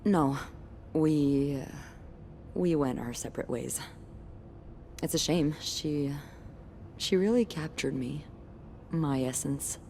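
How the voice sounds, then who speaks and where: A woman's voice: low-pitched, slow pace, long pauses, warm tone, slight background noise. A woman acting a bold, playful, artistic hotel employee in a video game, with a wry, teasing and confident delivery. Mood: nostalgic.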